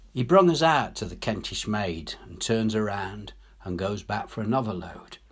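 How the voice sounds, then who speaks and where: A man's voice: on the low side, slightly rough. A man reading a story as a working-class English soldier, telling his war tale colloquially, wry and awed. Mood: earnest, admiring. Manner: colloquial, ungrammatical, animated storytelling. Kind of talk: dramatic audiobook reading.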